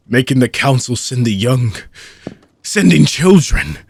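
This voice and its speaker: A man playing an ancient, weary dark mage villain in a fantasy audio roleplay, husky and gravelly, speaking theatrically with long dramatic pauses. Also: lowish voice, no echo, clean studio sound, exaggerated voice. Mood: anguished, accusing. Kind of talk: fantasy audio roleplay monologue addressed to listener.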